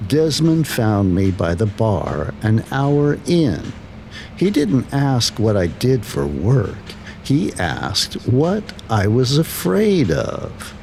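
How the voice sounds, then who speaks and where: Slow, expressive male horror narrator. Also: medium pitch. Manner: deliberate, short dramatic phrases with pauses. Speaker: horror story narrator and channel host. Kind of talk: scripted first-person horror narration. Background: background music.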